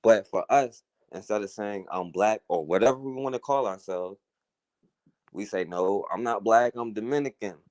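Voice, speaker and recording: A man's voice: on the low side, moderate pace, a little mellow, no echo. A man hosting a talk radio show, talking through a casual, earnest monologue, often saying "you know" and "right?".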